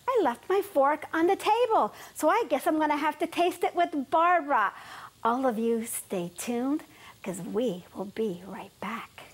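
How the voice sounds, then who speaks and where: A woman's voice: sits high, animated, unhurried. A woman in her fifties, a guest chef demonstrating a recipe in a TV studio kitchen, enthusiastic and sprinkling in Italian words. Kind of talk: cooking demonstration.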